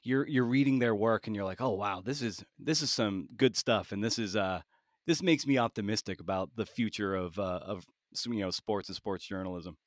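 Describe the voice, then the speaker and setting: Male podcast interviewer, lowish voice, dry close sound, casual and halting as he circles toward a question. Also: no room sound.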